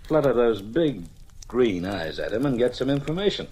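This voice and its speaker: An actor playing a confident, breezy male amateur detective in an old radio mystery, with a husky, slangy, assured delivery. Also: mid-range voice, big pitch swings, normal speed, darkish tone. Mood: confident, teasing.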